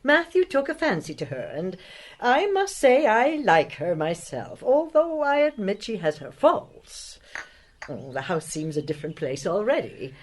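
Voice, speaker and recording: An actress playing a stern, middle-aged spinster guardian in a period drama, brusque, dry and plain-spoken. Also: female voice, mid-range voice, sing-song, measured pace. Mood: resolute. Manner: brusque, no-nonsense. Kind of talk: audio drama acting.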